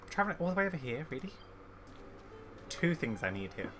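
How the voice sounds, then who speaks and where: Bright-voiced man doing animated game commentary. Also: medium pitch, moderate pace, faint music behind. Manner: offhand, muttering asides and exclamations. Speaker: game streamer. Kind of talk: casual gameplay reaction commentary. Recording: faint game sound and keyboard typing.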